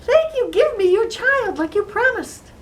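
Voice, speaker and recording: Storybook trickster, female performer as tiny magical man: squeaky-high, gleeful, insistent, demanding. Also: sing-song, unhurried, heavily acted. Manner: insistent, repetitive taunting.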